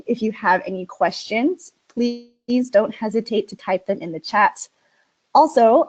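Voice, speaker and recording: A woman who teaches English as a second language, giving an upbeat, clearly spoken webinar presentation. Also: medium pitch, muffled recording. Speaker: ESL instructor.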